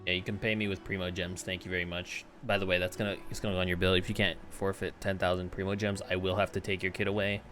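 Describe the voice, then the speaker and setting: A man's voice: low voice, little pitch movement, quick pace, few pauses, a bit bright, faint music behind. A man doing casual, joking livestream commentary on a game, slangy and sweary. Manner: goofy, slangy, profane. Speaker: game streamer.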